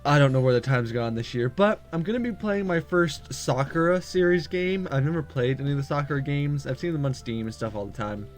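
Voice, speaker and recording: A man's voice: medium pitch, fairly quick, hardly any pauses. A man hosting a gaming playthrough, chatting casually in an informal way. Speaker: gaming video host. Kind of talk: casual playthrough chat and read-aloud of visual novel text. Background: music.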